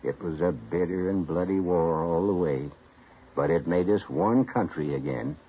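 A man's voice: low-pitched, moderate pace, a little hiss or hum. A man giving a folksy, drawling spoken introduction in a vintage radio broadcast. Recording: tinny old radio broadcast.

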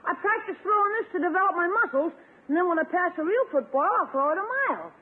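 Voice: very high voice, fast talker. A performer playing a wisecracking young American nephew in a 1950s radio comedy. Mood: eager, boyish. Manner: wry, cheeky wisecracks. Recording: narrow, tinny 1950s radio recording.

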